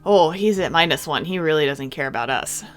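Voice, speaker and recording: A woman's voice: low voice, lively intonation, a little fast, faint music behind. A woman doing a let's-play of a visual novel, reading the text aloud expressively and adding casual, chatty comments. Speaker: game streamer.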